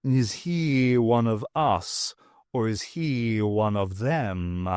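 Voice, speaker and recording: A man's voice: mid-range voice, lively intonation, very slow, a little mellow, clean tone, dry recording. A man voicing a dry, impatient male cat companion who mutters, in an audiobook. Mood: muttering, suspicious. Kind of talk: audiobook character voice.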